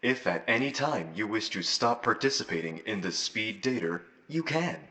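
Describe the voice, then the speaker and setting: A man's voice: lowish voice, animated, moderate pace, sharp tone. A man playing a slick, polished announcer for a futuristic dating company in a scripted audio roleplay. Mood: slick, official. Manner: polished, salesman-like. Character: slick, upbeat male corporate announcer for futuristic dating service.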